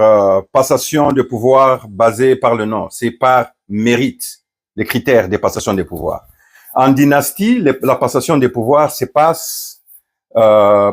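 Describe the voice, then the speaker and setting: A middle-aged man from Congo speaks slowly and deliberately, at an ordinary middle pitch. He pauses often on fillers and restarts his phrases as he recounts a story and draws a point from it. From Democratic Republic of the Congo. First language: Swahili. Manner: hesitant, many fillers and restarts. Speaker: pastor. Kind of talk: storytelling commentary.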